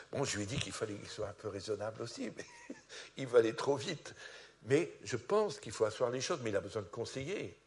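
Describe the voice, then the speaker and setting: Animated French-speaking pastor, lively pitch. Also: male voice. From France. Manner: animated, rising to loud peaks. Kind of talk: testimony sermon.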